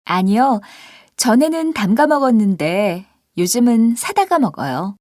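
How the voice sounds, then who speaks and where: A woman voicing scripted dialogues and example sentences for a language textbook, with clear, expressive line reading. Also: a little high, measured pace, no room sound, studio quality. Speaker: textbook audio voice actor.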